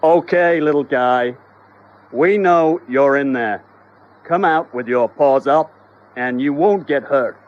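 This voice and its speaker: A man voicing a brash, hurried pest exterminator. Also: on the low side, slightly rough, lo-fi sound. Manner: hurried, brusque.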